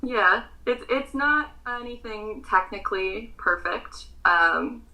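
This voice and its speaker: A woman's voice: mid-range voice, slow, bright, forceful tone, rough recording. A young American woman in her twenties with an American accent, a pageant contestant answering interview questions earnestly, with frequent ums.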